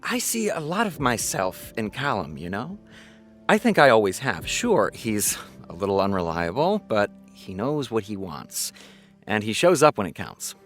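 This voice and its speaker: Male interviewee, actor-played: reflective, conversational, varied pitch, music bed, scripted. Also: wildly swooping pitch, moderate pace.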